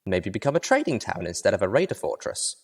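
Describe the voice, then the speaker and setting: A man's voice: on the low side, sing-song, quick pace, husky, exaggerated voice. A man voicing a small young female pony, an earnest and determined adventurer who speaks emphatically and pleadingly.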